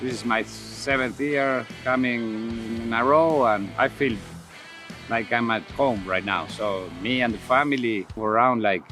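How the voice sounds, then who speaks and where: A man's voice: medium pitch, measured pace, sharp tone. A man from Argentina with an Argentine accent, a polo player talking casually in an interview. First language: Spanish. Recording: music behind the voice.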